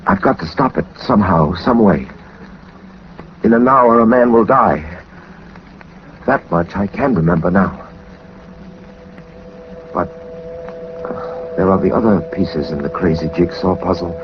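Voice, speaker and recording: An actor playing a suave, methodical gentleman detective in 1940s radio drama style, urbane and theatrical, with wordy, dry self-possession. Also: low voice, a little slow, pauses often. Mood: dazed, tense. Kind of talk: 1940s radio drama acting, first-person narration. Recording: muffled, noisy old 1940s radio recording.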